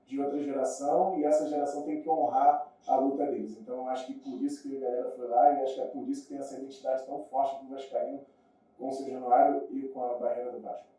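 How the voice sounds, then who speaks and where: A man's voice: medium pitch, little pitch movement, fast, dark tone. A man with a Rio de Janeiro Brazilian accent chatting casually and telling stories in informal, slangy speech. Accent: Rio de Janeiro Brazilian Portuguese. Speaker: podcast guest. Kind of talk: casual chat, storytelling. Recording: muffled, very echoey room, distant mic.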